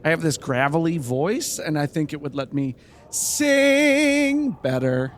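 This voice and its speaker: A man's voice: a little high, lively intonation, unhurried. A man co-hosting an improvised comedy podcast, hesitant and self-deprecating. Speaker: podcast co-host.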